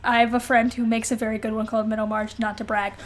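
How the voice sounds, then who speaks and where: Woman, high-pitched voice, podcast co-host, casual comedic chat, dramatic and exclamatory, swears freely. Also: level tone, racing.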